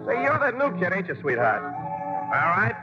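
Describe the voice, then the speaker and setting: An actor playing a brash young singing comedian in a 1940s radio drama, recorded on a dull broadcast with music. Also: male voice, high-pitched, huge pitch swings, normal speed. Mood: cocky. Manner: cocky, breezy.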